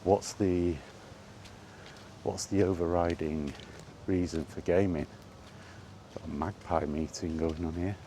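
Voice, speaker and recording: Male, deep voice, slow halting delivery, casual off-the-cuff chat, faint outdoor noise behind. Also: fairly even intonation, dark tone. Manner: halting, musing, repeats phrases. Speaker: host of a gaming chat series. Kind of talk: casual rambling monologue about video games.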